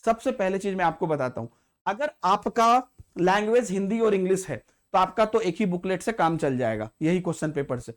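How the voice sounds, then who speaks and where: A man's voice: sits high, lively intonation, brisk. A man, teaching as an exam prep tutor who walks through an exam paper step by step and repeats key points to check understanding.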